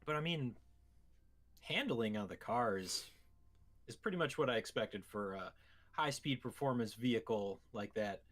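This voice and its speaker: A man's voice: mid-range voice, animated, unhurried, fairly bright tone. A man with a mid-range voice talks casually as a co-host on a gaming show. He discusses video games in an informal, conversational way.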